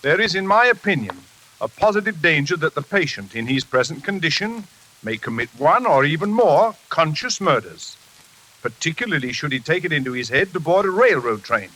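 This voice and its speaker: A man playing a composed, authoritative psychiatrist in a formal, measured 1940s radio style. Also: on the high side, big pitch swings, sharp tone, poor audio. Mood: clinical. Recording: faintly hissy old radio recording.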